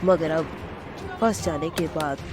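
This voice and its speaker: A woman's voice: deep voice, takes it slowly, over music. A woman giving scripted narration as a storyteller, explaining a dramatic tale.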